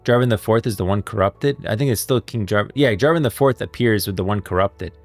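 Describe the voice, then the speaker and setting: Man, very low pitch, casual streamer commentary, rambling and emphatic, music in background. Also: brisk, dry recording. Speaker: livestream host. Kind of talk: casual lore commentary to viewers. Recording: music playing behind.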